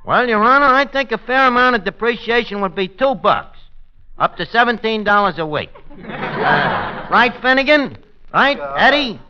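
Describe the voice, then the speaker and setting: High-pitched brash New wiseguy. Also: male voice, animated, normal speed, bright, forceful tone, smooth, heavily acted. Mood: brisk, cocky. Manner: malapropisms, wisecracking, slangy. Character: brash, streetwise tavern manager, mangling big words, male. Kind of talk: 1940s radio comedy acting. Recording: noisy, muffled old radio recording.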